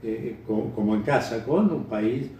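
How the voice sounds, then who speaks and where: A middle-aged Uruguayan man answering interview questions off the cuff, halting and repeating words as he thinks. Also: medium pitch, warm tone. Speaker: interviewee, bird breeder. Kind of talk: unscripted interview answers, reminiscing. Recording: echoey room, distant mic, faint noise.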